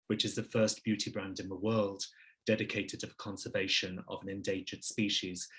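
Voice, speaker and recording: Low-voiced middle-aged man telling his story. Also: fairly bright tone. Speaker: podcast guest, business leader. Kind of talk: polished interview storytelling.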